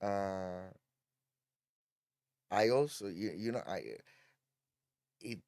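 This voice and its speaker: A man's voice: on the low side, very slow, a little mellow, no room sound, clean studio sound. A middle-aged man from Cyprus, a hotel director talking as a guest in a casual interview, hesitant, with many ums and restarts.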